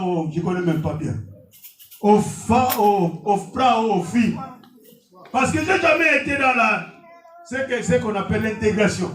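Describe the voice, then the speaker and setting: A man whose first language is Portuguese, preaching an impassioned sermon in a church and often shouting. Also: high voice, a little hiss or hum. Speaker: pastor. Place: church hall. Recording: echoey hall, distant mic, faint music.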